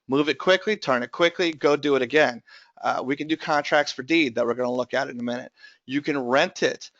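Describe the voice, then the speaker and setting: A man's voice: mid-range voice, a little fast, fairly bright tone, no room sound, dull audio. A man presenting an investing webinar, his voice strained from recent bronchitis, explaining steadily with many filler uh's. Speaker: tax lien investment presenter.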